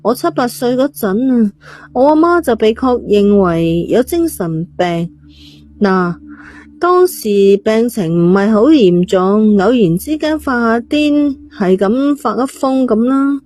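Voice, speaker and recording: A woman's voice: on the high side, expressive, slow, darkish tone, pitched above the performer's own voice. A woman voicing a defiant, detached teenage girl in a storybook reading, blunt and offhand. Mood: matter-of-fact.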